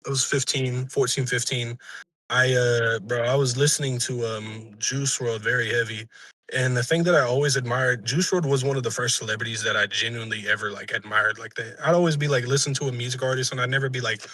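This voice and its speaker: A young man with a raspy voice, a musician being interviewed, chatting casually and earnestly in slang and profanity, often backtracking. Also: medium pitch, steady tone, a little fast, pushed and bright, no room sound, low-quality recording. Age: young adult.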